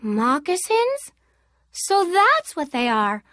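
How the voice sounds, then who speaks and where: An excitable little girl from a storybook, voiced by a woman. She sounds curious and eager, very high and swooping, full of exclamations. The sound is dry and close. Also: slow, dry recording, full character voice. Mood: delighted. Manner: eager, wide-eyed, exclaiming. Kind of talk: children's storybook character voice.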